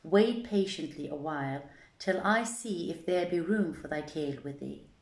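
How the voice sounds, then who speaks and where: A woman voicing a wily male carpenter with measured, formal, archaic speech in a folk tale reading. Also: low-pitched. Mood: coaxing. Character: wily male carpenter, outwardly humble, secretly vengeful. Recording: echoey, roomy sound.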